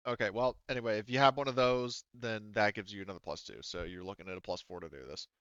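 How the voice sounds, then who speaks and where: A man's voice: on the low side, quick pace, a bit bright, clean tone, dry recording, dull audio. A man running a tabletop roleplaying session as game master, explaining and refereeing as he goes.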